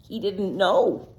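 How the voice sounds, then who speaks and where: A woman teacher reading a picture book aloud to young children, pausing to ask them questions in a playful, sing-song way. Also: mid-range voice, dark tone. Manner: slow and deliberate, pausing for answers.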